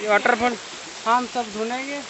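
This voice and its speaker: A young man from Odisha, India, vlogging excitedly as he hikes through a forest to a waterfall, filming on a phone at arm's length. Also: extremely high pitch. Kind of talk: casual vlog narration while hiking. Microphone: phone held at arm's length. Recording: noisy outdoor phone recording. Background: rushing water.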